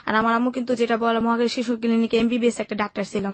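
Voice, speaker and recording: A woman's voice: on the high side, little pitch movement, very fast, no echo. A young woman with a Bangladeshi Bengali accent, telling her personal life story in colloquial, run-on sentences as an interview guest. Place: radio studio.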